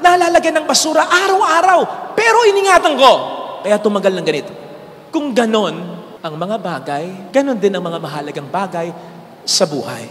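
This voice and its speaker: Filipino priest, handheld mic, high voice, church reverb. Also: male voice. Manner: animated preaching, switching between Tagalog and English. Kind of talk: homily. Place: large church sanctuary, indoors. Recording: hall reverb with faint music.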